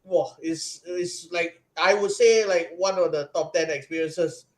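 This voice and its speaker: Man, Singaporean accent, young adult, excited storytelling, slightly high pitch, casual podcast chat. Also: unhurried. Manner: excited storytelling, many restarts and fillers. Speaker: podcast guest.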